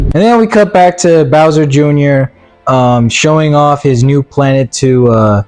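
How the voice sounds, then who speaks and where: A man giving a casual, opinionated movie review as a video commentator, in a halting, repetitive, slangy way. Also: mid-range voice, darkish tone.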